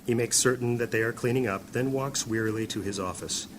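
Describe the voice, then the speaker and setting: A man narrating a scripted fantasy audio drama in a measured, descriptive storytelling manner. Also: medium pitch, slight background noise.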